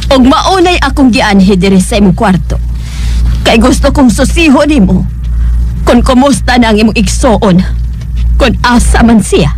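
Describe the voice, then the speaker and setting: A woman's voice: fairly high pitch, a little mellow. A suspicious scheming mother. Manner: probing, accusing. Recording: noisy background hiss.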